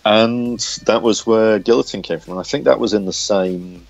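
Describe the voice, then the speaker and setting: A man with a very low voice talks casually in an interview as a comic writer. His delivery is halting, with words repeated as he goes. The sound is dull and lo-fi, captured on a phone. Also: vocal fry, a little mellow, dry recording. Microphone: smartphone recorder.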